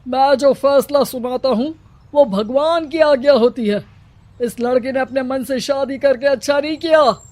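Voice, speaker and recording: Someone voicing a high-voiced, bossy village headman. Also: measured pace, darkish tone. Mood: commanding. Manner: commanding and scornful. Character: stern bossy male village headman, domineering. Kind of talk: cartoon story voice acting.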